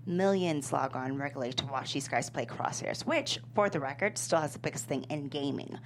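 A woman acting a tough, wry, persuasive dealmaker in a script table read, assertive and creaky-voiced. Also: deep voice, lively intonation, a little fast. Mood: assertive, sly. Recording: faint background hum.

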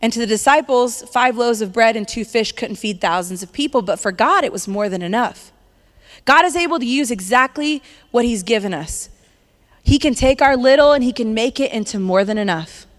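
A woman in her thirties preaches in a chatty, upbeat way, now and then breaking into a laugh. Her voice sits a little high. The sound carries a long reverb, as in a church hall. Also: lively intonation, moderate pace. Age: 30s. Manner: conversational, upbeat, laughs between points. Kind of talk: sermon.

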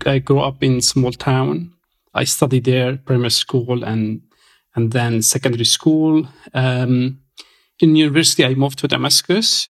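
Interview guest: Syrian Kurdish man, thirties, halting speech full of ums and restarts, mid-pitched voice. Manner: hesitant, many fillers and restarts. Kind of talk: casual interview.

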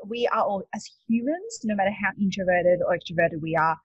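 A woman's voice: mid-range voice, expressive, brisk, husky, no room sound. A woman with an Australian accent, a guest coach chatting casually, laughing often and using many fillers. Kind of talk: casual webinar talk. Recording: dull lo-fi dry sound.

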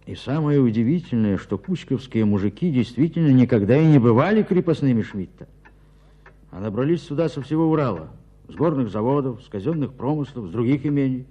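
An actor playing a perplexed educated gentleman in a radio play, reflective and bemused. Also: medium pitch, expressive, a little fast, breathy edge. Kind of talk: Russian radio play acting. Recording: dull radio drama recording.

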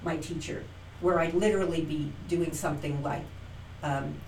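A middle-aged woman, an actress and acting teacher, telling her story as an interview guest, animated and acting out quoted lines with frequent restarts. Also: low voice, moderate pace. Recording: roomy, distant from mic, faint noise.

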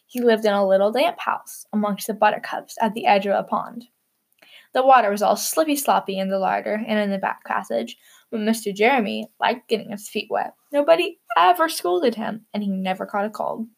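A woman's voice: mid-range voice, brisk, creaky. A woman hosting and narrating a storybook for children.

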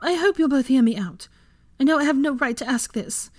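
A woman's voice: sits high, a little fast, a little mellow, no room sound, voice pushed up, lightly acted. A woman voicing an older family matriarch, earnest and pleading, in an audiobook story. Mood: tearful pleading. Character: older mother, guilt-ridden, pleading, emotional matriarch.

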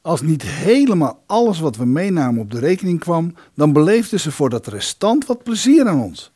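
A man playing a young musician who wryly recalls his lodging days in an audio drama, his voice husky. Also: on the high side, sing-song, normal speed, mellow. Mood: wry, reminiscing. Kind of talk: Dutch audio drama narration.

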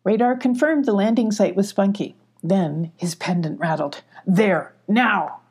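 A woman's voice: medium pitch, lively intonation, normal speed, mellow, breathy edge. A woman reading a children's story aloud as an audiobook narrator.

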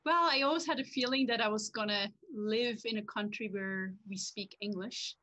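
A woman's voice: mid-range voice, moderate pace, pushed and bright. A woman from Switzerland, a podcast guest, chatting casually and laughing often over a video call. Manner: laughs often, talkative, thinks aloud. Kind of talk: casual chat.

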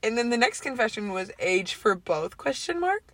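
A woman's voice: fairly high pitch, very animated, moderate pace, noisy background. A nineteen-year-old woman co-hosting a casual podcast, talking in slang and laughing as she reads out listener messages, recorded in a car. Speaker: podcast co-host. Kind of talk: casual chat. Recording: dry sound, recorded in a car.

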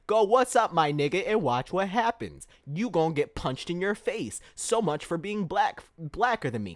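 A man's voice: on the high side, dry recording, clean studio sound. A man hosting a podcast, delivering a heated opinion monologue with emphatic restarts. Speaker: podcast host.

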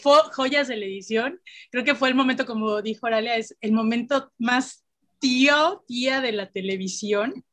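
A Mexican woman co-hosting a live stream, telling anecdotes in a lively, casual way. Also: on the high side, normal speed. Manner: animated, storytelling. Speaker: co-host of a live stream show. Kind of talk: casual chat.

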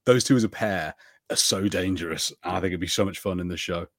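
A fairly low-voiced man co-hosting a podcast, rambling through his points in long loose turns. The sound is dry and close, with no room in it. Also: brisk, no echo. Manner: rambling, many "like" fillers, animated. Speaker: podcast co-host. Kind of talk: casual chat.

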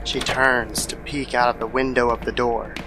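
A man's voice: medium pitch, flat delivery, normal speed. Male horror storyteller over prominent music. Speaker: narrator. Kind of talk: scripted horror story narration in old-time radio style. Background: background music.

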